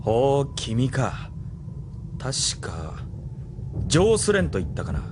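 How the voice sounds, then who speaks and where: A stern male lieutenant, formal and clipped, in a mid-range voice with lively intonation. The anime audio drama puts vehicle noise behind him. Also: medium pitch, slow pace. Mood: condescending.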